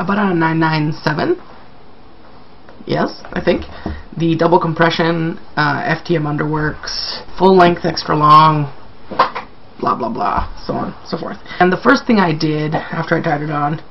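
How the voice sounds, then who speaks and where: A man with a croaky, sick-sounding voice giving a casual, rambling, self-deprecating video update to viewers. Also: on the high side, lo-fi sound, slight background noise. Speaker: vlogger.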